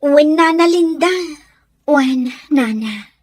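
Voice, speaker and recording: Chatty young ilocano bestie, really high voice, takes her time, radio drama. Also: takes it slowly, no room sound, clean studio sound. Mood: happy, respectful. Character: eager, chatty young woman, heroine's best friend.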